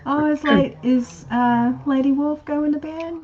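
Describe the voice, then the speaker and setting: A woman's voice: sits high, steady tone, a little slow, dull audio. A woman co-hosting a casual livestream. She is easygoing, laughs often and reads viewer comments aloud. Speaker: co-host of a live chat show.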